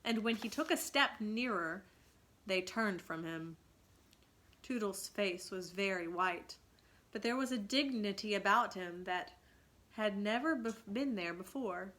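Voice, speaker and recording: A woman's voice: medium pitch, unhurried, a bit bright. A woman reading a children's story aloud dramatically, in a teacherly way that invites listeners to think.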